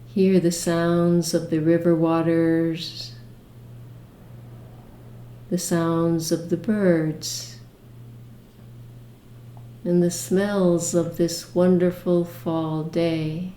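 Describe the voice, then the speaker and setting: A woman leading a guided meditation with imagery, speaking in a gentle, soothing manner with long pauses. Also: on the low side, level tone, echoey room, a little hiss or hum.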